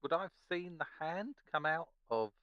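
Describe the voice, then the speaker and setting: A man's voice: on the high side, animated, normal speed, no room sound, highs cut off. A man chatting casually as a player in an online tabletop game.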